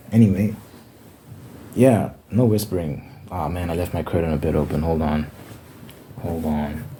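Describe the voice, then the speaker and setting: A man's voice: low voice, slow, pauses often, noisy background. A man from Zimbabwe talking casually to camera in his room, rambling and correcting himself as he thinks aloud. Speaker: ASMR channel host. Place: bedroom with window.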